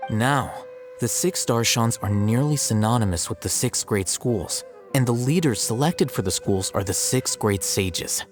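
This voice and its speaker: Deadpan, aloof scholarly man, acted over music. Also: mid-range voice, unhurried, natural voice. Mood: dry, explanatory. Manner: deadpan, dry, formal phrasing. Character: aloof, unambitious male academy scribe, matter-of-fact and dry. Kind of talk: scripted video game dialogue.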